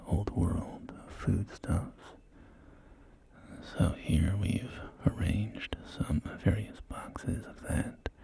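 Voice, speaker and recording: A man playing a polite, helpful real estate agent in a post-apocalyptic ASMR roleplay, speaking softly and courteously with small hesitations. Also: sits low, exaggerated voice. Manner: soft-spoken, hesitant, unhurried, courteous. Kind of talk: ASMR roleplay, whispered sales tour. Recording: close whisper over clear background music. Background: music and game audio.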